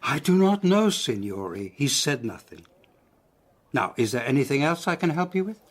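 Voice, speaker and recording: An actor playing a grave, courteous doctor in a radio drama, speaking in a formal, measured way. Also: male voice, medium pitch, very animated. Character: grave, courteous Italian doctor recalling a death.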